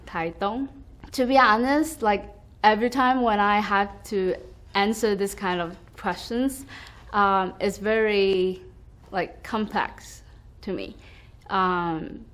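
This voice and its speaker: A young woman from Taiwan speaks at an unhurried, careful pace, pausing often with soft fillers. Her voice sits in a middle register as she reflects on identity, sounding hesitant and thoughtful. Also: mid-range voice. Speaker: school staff member. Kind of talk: reflective personal testimony.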